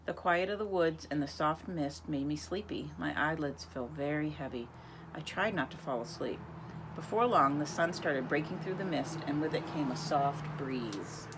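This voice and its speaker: Low-voiced woman reading a storybook. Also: normal speed, noisy background. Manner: expressive, speaks to young listeners. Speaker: reader. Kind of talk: reading a picture book aloud.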